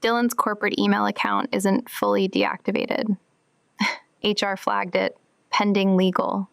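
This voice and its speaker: A deadpan gravelly hacker. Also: female voice, medium pitch, a little slow, no room sound. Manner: terse, cryptic, matter-of-fact. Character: laconic deadpan young woman hacker. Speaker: hacker in a group of conspiracy theorists. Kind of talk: audio drama acting.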